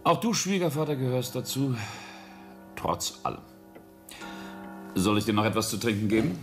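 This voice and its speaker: A man's voice: medium pitch, expressive, normal speed, faint music behind, natural voice. An actor playing a middle-aged, coolly controlled rich businessman and family patriarch in a radio drama. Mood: smug, courteous. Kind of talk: German radio drama acting.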